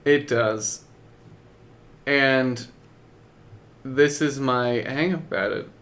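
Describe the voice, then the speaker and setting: A young man with a medium-pitched voice speaks slowly as a podcast co-host. His casual talk comes in hesitant, halting pieces with a skeptical edge. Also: fairly bright tone. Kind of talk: casual chat about video games.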